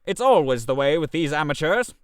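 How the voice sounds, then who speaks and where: A man puts on an unflappable scheming playwright for a storybook reading. He talks in a brisk, slightly pompous, knowing way, recorded very dry. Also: on the high side, big pitch swings, smooth, dry recording, voice pushed down.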